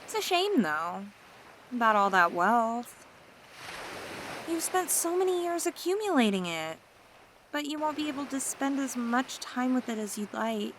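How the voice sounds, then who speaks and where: A woman voicing a seductive, scheming mermaid in an ASMR roleplay, talking in a teasing, flattering, coaxing way. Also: a little high, a little slow, a little hiss or hum.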